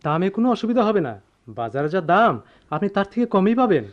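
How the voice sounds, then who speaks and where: Fast, pushy high-voiced seller. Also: male voice, very animated. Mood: insistent. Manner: insistent, hurried. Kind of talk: Bengali radio drama acting. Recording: dull lo-fi radio drama sound.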